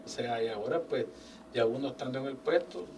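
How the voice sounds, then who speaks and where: An older man, a business owner telling his life story as a guest in a casual interview. He speaks with animated, faith-filled phrasing and acts out quoted dialogue. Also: mid-range voice, fairly quick. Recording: distant mic with faint background noise.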